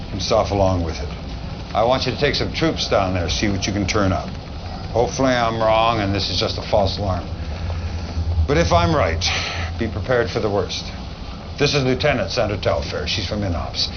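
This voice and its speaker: A man's voice: fairly low pitch, a little fast, close to an everyday voice. A middle-aged man playing a wary veteran army general in a game cutscene, speaking with grave, emphatic authority. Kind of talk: video game cutscene acting. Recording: dull audio, clear background noise.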